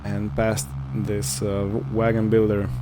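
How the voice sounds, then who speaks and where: A man hosting a roleplay gaming series, narrating his play in character in a casual, improvised way with halting short phrases. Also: fairly low pitch, completely flat delivery, background noise.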